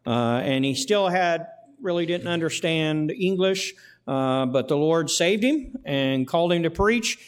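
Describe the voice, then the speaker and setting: A male pastor with a slightly high voice leads his church through announcements and a prayer. He speaks in a folksy way, repeating words and filling gaps with "uh". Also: lively intonation, unhurried, clean tone. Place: church sanctuary.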